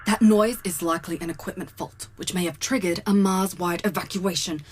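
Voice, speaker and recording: A woman's voice: on the low side, steady tone, brisk, faint music behind, natural voice. A woman playing a strict, by-the-book starship co-captain in an audio comedy, clipped, formal and commanding. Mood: stern. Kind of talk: audio comedy drama acting.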